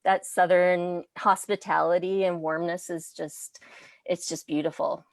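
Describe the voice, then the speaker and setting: A woman's voice: fairly low pitch, flat delivery, unhurried, gravelly, dry recording. A woman from the US Midwest with an American accent, chatting casually as an interview guest and language instructor. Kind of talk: casual interview chat about personal background.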